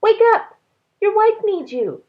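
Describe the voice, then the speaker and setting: A woman voicing a talking fish from a storybook, calling out loudly and insistently. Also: extremely high pitch, very animated, slow pace, muffled recording, voice pushed up, exaggerated voice. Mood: urgent. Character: urgent talking fish waking an old man.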